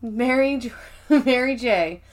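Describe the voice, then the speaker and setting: A woman's voice: on the high side, measured pace. A woman co-hosting a podcast, chatting and teasing casually and laughing often. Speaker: podcast co-host. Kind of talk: casual recap chat.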